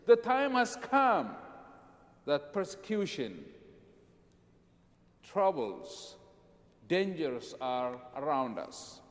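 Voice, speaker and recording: Ethiopian-born man preaching slowly, high voice. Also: very animated, big-room sound. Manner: emphatic, repetitive preaching with dramatic pauses. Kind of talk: sermon. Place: church sanctuary.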